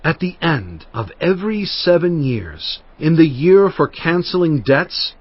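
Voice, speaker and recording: A man's voice: a little high, sing-song, measured pace, dry recording. An actor playing an aged prophet and leader, solemn and fervent, addressing his people in a dramatized scripture reading. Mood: instructing. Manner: solemn, emphatic oratory. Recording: muffled audio with music underneath.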